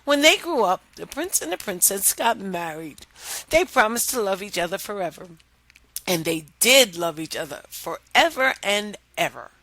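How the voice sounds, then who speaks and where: A woman telling and explaining a children's story as a reading teacher, in an expressive, deliberate manner. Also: medium pitch, no room sound, poor audio.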